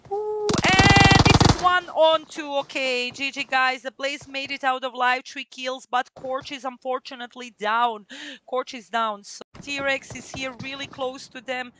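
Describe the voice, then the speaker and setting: A young woman with a Croatian accent, commentating a mobile shooter tournament live and getting excitable at big plays. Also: high voice, measured pace, background noise. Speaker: streamer casting a mobile game tournament. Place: small room at home, indoors. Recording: loud gunfire from game audio.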